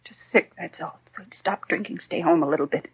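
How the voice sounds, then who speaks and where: A woman playing a defensive American housewife and mother under suspicion in a 1950s radio crime drama, with a husky, creaky voice. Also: on the low side, brisk. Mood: broken, pleading. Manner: indignant, evasive, clipped retorts. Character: defensive, tearful housewife and mother suspected of poisoning her husband. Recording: dull, muffled 1950s radio recording.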